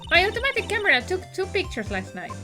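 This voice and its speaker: A woman's voice: very high voice, wildly swooping pitch, fast, over music, pitched above the performer's own voice, heavily acted. Woman putting on a brash Southern photographer. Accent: American Southern. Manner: folksy, brash, emphatic.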